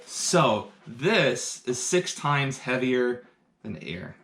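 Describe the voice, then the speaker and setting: A man leads a live science demonstration, upbeat and explaining each step for young viewers. His voice sits at an ordinary middle pitch. The sound carries a roomy echo from the indoor space. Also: sing-song, drawn-out words, a bit bright. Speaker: science educator. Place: indoor room.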